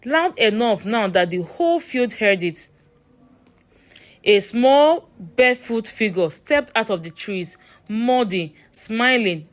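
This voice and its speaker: A woman narrating a scripted horror story in a dramatic, suspenseful manner. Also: on the high side.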